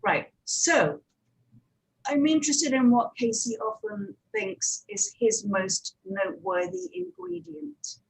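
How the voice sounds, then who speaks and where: A woman's voice: high-pitched, very animated, takes it slowly, creaky, poor audio. A woman giving informal, practical advice as a speaker on a conference panel. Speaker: panel speaker, audio drama producer.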